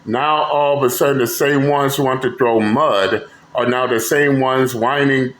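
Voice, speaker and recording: A man's voice: medium pitch, fairly even intonation, normal speed, fairly bright tone. A man hosting a commentary channel, talking bluntly and mockingly with profanity as he reacts to clips. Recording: echoey room with faint noise.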